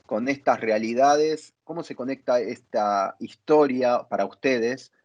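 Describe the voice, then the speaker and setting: A man's voice: mid-range voice, a little slow, darkish tone, dry recording. A man interviewing in a panel conversation, posing questions in a hesitant, searching way. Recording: dull, lo-fi sound.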